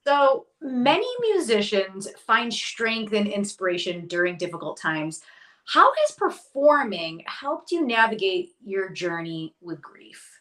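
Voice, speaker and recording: A woman's voice: medium pitch, sing-song, fairly bright tone, low-quality recording. A woman hosting a casual interview, upbeat and chatty. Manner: upbeat, chatty, quick to agree. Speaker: podcast host.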